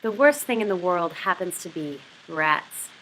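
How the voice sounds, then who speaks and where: A woman reading the part of a menacing, coldly controlled male interrogator in a novel, with slow, deliberate delivery. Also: fairly low pitch, animated, fairly bright tone, slight background noise, low-quality recording. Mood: cold menace. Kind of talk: audiobook-style novel reading.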